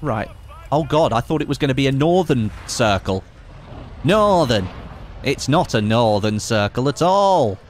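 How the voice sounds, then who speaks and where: A man's voice: mid-range voice, expressive, a little slow, a little hiss or hum. Chatty man streaming a game. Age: late 20s. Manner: chatty, reads chat aloud, sarcastic asides. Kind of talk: casual live stream commentary.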